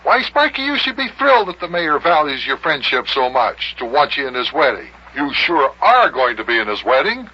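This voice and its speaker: A man playing a genial, sensible grown-up host in an old-time radio comedy, courteous and measured. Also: on the high side, big pitch swings, husky. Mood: coaxing. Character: genial, sensible grown-up radio pal named Big Jon. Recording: dull, noisy vintage radio recording.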